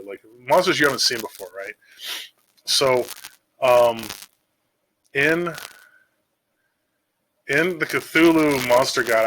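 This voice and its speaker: Male vlogger, bright forceful tone, informal and digressive, slangy delivery, slight background noise and clicks. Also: medium pitch, low-quality recording. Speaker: video creator. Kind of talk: casual rambling monologue about game monsters.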